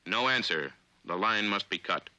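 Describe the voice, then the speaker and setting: A man with an American accent narrates in the style of a 1950s training film. His lowish voice is very bright and forceful, with deliberate, authoritative phrasing. The sound is a dry period film soundtrack. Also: expressive, dry recording, rough recording.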